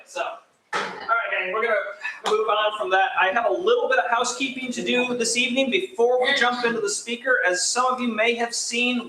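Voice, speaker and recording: A man hosting a history roundtable meeting, chatting casually through announcements before introducing the speaker. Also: sits high. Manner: relaxed, chatty, addresses the crowd as gang. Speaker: roundtable president and host. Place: meeting room with live audience. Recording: echoey room.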